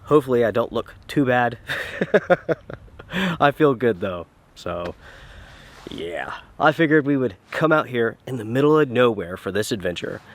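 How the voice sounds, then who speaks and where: A man's voice: mid-range voice, a little slow. A man getting over the flu, sounding nasal, chatting casually to viewers from an outdoor campsite. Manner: relaxed, chatty, addresses viewers directly. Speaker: outdoor vlogger. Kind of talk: casual talk to camera. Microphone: camera-mounted shotgun mic.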